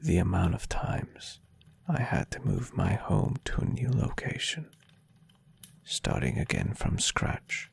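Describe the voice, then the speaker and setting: Man acting as adoring centuries-old vampire boyfriend, gravelly fry, slow pace, soft ASMR roleplay, tender and teasing. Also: low-pitched, full character voice. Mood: wistful, lonely. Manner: tender, breathy, unhurried, softly teasing.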